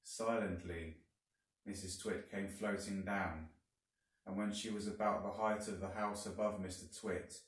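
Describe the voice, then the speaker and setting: Guy reading a kids story slowly, dramatic, lowish voice, super echoey room. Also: fairly even intonation. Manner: deliberate, dramatic emphasis. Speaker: teacher reading aloud to pupils. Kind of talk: expressive storybook narration. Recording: very echoey room, distant mic.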